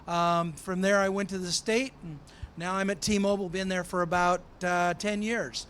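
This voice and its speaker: A man's voice: high-pitched, steady tone, moderate pace, fairly bright tone, a little hiss or hum. A man introducing himself on a conference panel, joking and self-deprecating. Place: conference hall stage.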